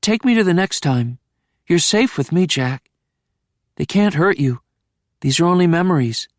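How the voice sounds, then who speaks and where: A man voicing a calm female hypnotist who speaks in a slow, soothing, hypnotic way. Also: fairly high pitch, no room sound, studio quality, voice pushed up, lightly acted. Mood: coaxing. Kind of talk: hypnotic induction.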